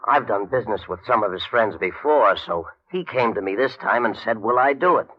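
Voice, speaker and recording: A man's voice: lowish voice, expressive, fairly quick. An actor playing an anxious, shifty New York bail bondsman in an old-time radio crime drama, pleading and hesitant in slangy talk. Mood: anxious, insistent. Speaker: supporting character in detective radio drama. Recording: muffled vintage 1950 radio recording.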